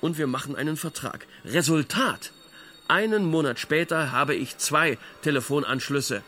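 A man's voice: fairly high pitch, normal speed, sharp tone. An actor playing an aggrieved, pedantic man in a radio drama, telling stories in an insistent, lecturing way.

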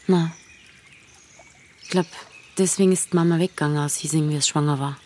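Low-voiced, blunt Bavarian village woman played by an actress. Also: fairly even intonation, brisk, mellow, a little hiss or hum, natural voice. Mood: reflective, blunt. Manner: dry, plain-spoken, laconic. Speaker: lead actor and narrator. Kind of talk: radio drama narration and dialogue.

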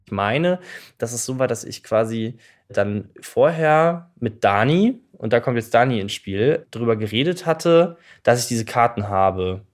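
A man's voice: mid-range voice, expressive, a little slow. A man in a casual conversation telling his own story, sounding nervous and self-conscious. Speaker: guest telling a story from school days.

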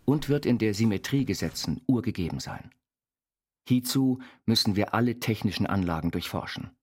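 A man playing an earnest, dreamy inventor confined to an institution, reading his writings in a deliberate, formal way. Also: lowish voice, lively intonation, husky. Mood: absorbed, technical. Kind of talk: scripted literary reading over music. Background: music.

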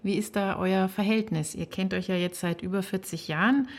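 A woman's voice: medium pitch, fairly even intonation, normal speed, no echo. A woman reporter delivering scripted narration for a feature.

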